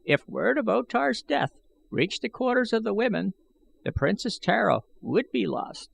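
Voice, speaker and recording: A man voicing a bent, eager old man with excitable, old-fashioned pleading speech, as an audiobook character. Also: high voice, moderate pace, gravelly, husky, dry recording, voice pushed up, heavily acted. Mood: urgent warning. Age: older.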